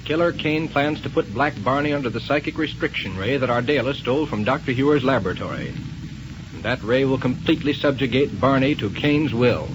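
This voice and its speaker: A man announcing a 1930s radio serial and pitching its sponsor in scripted style. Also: fairly quick. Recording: faintly noisy 1930s radio recording.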